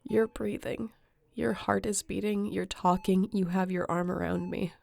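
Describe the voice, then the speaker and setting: Casting: actress to play tired, guilt-ridden female spacefarer for sci-fi audio roleplay; medium pitch, very creaky, intimate, earnest, devoted. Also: steady tone, darkish tone. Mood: tender, relieved. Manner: earnest, tender, halting confession.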